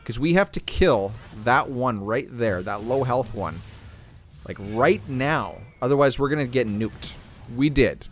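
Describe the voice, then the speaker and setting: A man hosting a gaming channel, talking casually through a game while playing, clearing his throat as he is losing his voice. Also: medium pitch, unhurried, soft background music. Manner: relaxed, thinking aloud while playing. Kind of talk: casual gameplay commentary.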